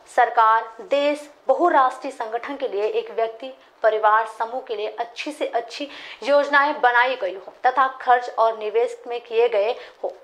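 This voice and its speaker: A woman in her twenties reading a scripted news explainer as a presenter, in a formal, measured manner. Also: fairly high pitch, a bit bright.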